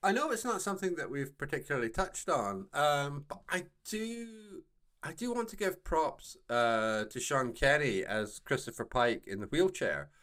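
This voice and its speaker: A man co-hosting a podcast, discussing and critiquing in a casual, halting way, repeating words for emphasis. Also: fairly high pitch, sing-song, fairly bright tone. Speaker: podcast co-host.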